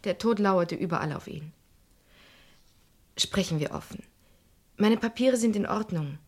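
An actress playing a cool, resolute grieving daughter with a controlled, firm delivery in a radio crime drama. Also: female voice, medium pitch, a little slow, a little mellow, dry recording, lightly acted. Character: cool, resolute pianist, murdered man's daughter.

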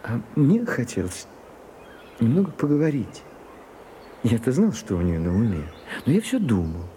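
An actor playing a shy, prim gentleman in a radio play, confiding haltingly and easily flustered. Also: mid-range voice, very animated, close to an everyday voice. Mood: wistful, nostalgic. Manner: hesitant, halting, bashful. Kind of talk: Russian radio play acting. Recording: muffled Soviet-era radio recording, some hiss.

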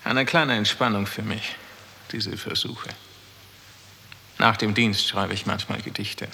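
An actor playing a smug, cynical superior with poetic pretensions in a 1960s German radio drama, suave and sardonic. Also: male voice, on the low side, steady tone, takes it slowly, creaky, sharp tone, a little hiss or hum, exaggerated voice. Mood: amused, sardonic. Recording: reverberant hall, 1960s radio production.

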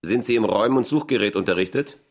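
An actor playing a curt navy lieutenant who fires off clipped, official questions in a radio drama. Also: male voice, fairly low pitch, quick pace. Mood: stern. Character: curt navy first lieutenant interrogating a recruit.

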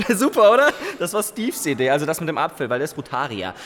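High, excitable young computer geek. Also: male voice, quick pace. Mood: eager, excited. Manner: gushing, exclaiming in short bursts. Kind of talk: German radio drama acting.

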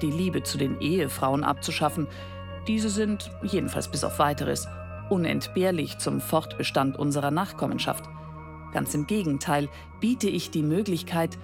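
Creaky-voiced seductive machine. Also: female voice, low voice. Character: seductive female sex machine, coolly desiring humans. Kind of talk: scripted German radio play.

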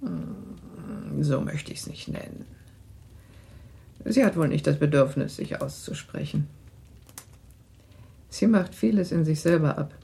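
A sharp-tongued middle-aged mother in a radio play. The voice is low and very raspy, with a creaky edge. Replies come dry, cool and clipped, with a sardonic sting. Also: slow pace, pauses often, exaggerated voice. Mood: measured, reflective. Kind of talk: German radio drama acting.

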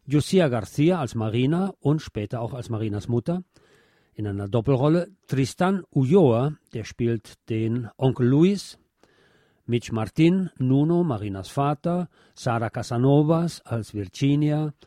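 A man's voice: medium pitch, lively intonation, unhurried, warm tone, dry recording, studio quality. A man presenting a film review as a show host, partly scripted.

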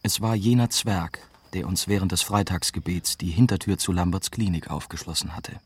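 An actor playing a young German journalist who narrates his adventure in measured first-person radio drama style. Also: low voice, slightly rough, dry recording. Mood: measured, tense. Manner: measured, storytelling. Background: prominent music.

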